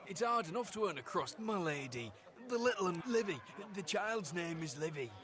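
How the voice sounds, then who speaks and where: The high, anxious voice of a desperate pauper in a fantasy video game, pleading earnestly to be believed. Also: male voice, big pitch swings, measured pace, vocal fry, crowd noise, exaggerated voice. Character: nervous, pleading poor man, desperately defending himself. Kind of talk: dramatic game voice acting.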